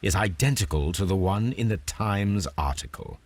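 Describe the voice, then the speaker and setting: An actor playing a composed, analytical detective who muses aloud in a measured, precise manner in a video game. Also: male voice, sits low, a little mellow. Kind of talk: video game cutscene acting, musing aloud.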